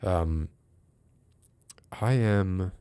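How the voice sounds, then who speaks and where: A man in his late forties with a very low voice chats on his livestream at an unhurried pace. He muses and rambles wryly and stops to greet viewers in the chat by name. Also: dark tone, clear, resonant tone. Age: 40s. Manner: musing, rambling, wry. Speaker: livestream host.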